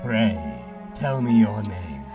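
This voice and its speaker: A man acting a sinister, courtly Victorian gentleman in a radio drama, speaking formally and deliberately in flowery phrases. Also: lowish voice, big pitch swings, vocal fry, recorded from a distance, loud music behind the voice, exaggerated voice. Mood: veiled menace.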